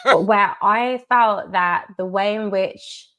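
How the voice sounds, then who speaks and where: A woman's voice: mid-range voice, sharp tone. Emphatic woman delivering commentary. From United Kingdom. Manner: measured, emphatic, repeats key phrases. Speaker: commentator in a played video. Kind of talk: monologue commentary.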